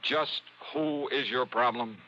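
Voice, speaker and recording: An actor playing a brash, wisecracking American insurance investigator with a breezy, slangy manner, in 1950s radio drama style. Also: male voice, mid-range voice, slow pace, pushed and bright. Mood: uneasy, dawning dread. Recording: dull old radio recording, faint hiss.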